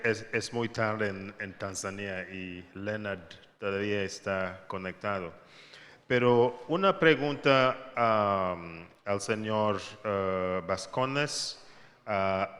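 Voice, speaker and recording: Slow, bright-voiced panel moderator. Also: male voice, on the low side. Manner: hesitant, many fillers. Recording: long reverb in a large hall with a faint audience.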